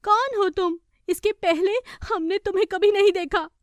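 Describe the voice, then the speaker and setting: A woman's voice: steady tone, normal speed, no echo, studio quality. A woman voicing a young, innocent fairy princess in a children's cartoon story, earnest and girlish. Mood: alarmed. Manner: high, earnest, girlish delivery.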